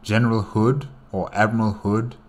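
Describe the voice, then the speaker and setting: A man's voice: on the low side, little pitch movement, moderate pace, a little mellow. A man giving casual, rambling reaction commentary as a video host, hesitating as he thinks aloud.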